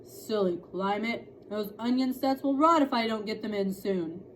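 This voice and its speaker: A grumbling, gloomy storybook dad, voiced by a woman reading aloud. He laments everything in despair, with clear noise in the recording. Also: medium pitch, normal speed, close to an everyday voice. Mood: grumpy. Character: grumpy gardening father, gloomy about the weather. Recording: clearly noisy room recording.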